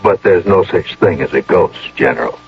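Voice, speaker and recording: A man's voice: sits low, animated, normal speed. An actor playing an earnest, intelligent major in a radio drama, deferential to his general, formal and a little hesitant. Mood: doubtful, uneasy. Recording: dull, noisy old radio recording.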